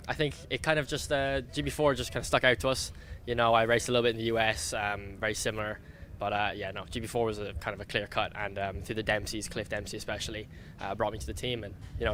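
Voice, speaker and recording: Male driver aged eighteen, relaxed interview chat, quick pace, slightly deep voice. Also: slight background noise. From Ireland. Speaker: rookie racing driver being interviewed. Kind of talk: casual interview answers.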